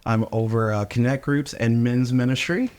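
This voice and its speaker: A man with a voice of middle pitch talks hesitantly, filling his pauses with "uh" and adding wry asides. He leads a church men's ministry and is chatting casually. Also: steady tone, a little mellow. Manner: hesitant, many "uh" fillers, wry. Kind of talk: casual interview chat.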